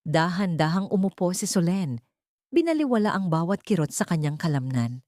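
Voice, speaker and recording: Low, mellow Filipino woman narrator. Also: big pitch swings, moderate pace, no echo, clean studio sound. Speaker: audiobook narrator. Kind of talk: dramatic scripted story narration.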